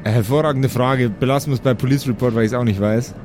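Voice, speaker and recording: A man runs an improvised tabletop game as game master, switching between narration and table talk. His pitch is average, and a faint noise sits behind him. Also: fast talker, a little mellow.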